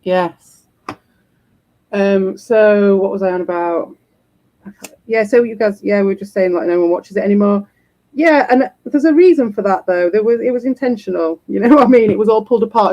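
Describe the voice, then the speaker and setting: A man with a croaky, husky voice, hosting a stream in loose, rambling chat and swearing freely. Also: high voice, fairly quick, darkish tone, dull audio. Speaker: streamer host. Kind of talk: casual chat.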